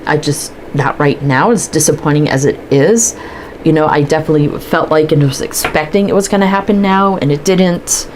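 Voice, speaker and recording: Low-voiced stuffy woman reading tarot. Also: a little mellow, slight background noise, rough recording. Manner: chatty, thinks aloud while pulling cards. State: stuffy from allergies, sniffling. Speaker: tarot reader. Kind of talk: casual tarot card reading to camera.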